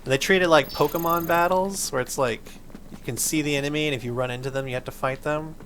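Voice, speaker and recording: A man's voice: on the high side, quick pace, fairly bright tone, slight background noise. A man hosting a gaming video, explaining things patiently in a playful, conversational way. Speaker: host of a family gaming video. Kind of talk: casual chat over gameplay. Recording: game music behind the voice. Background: video game music.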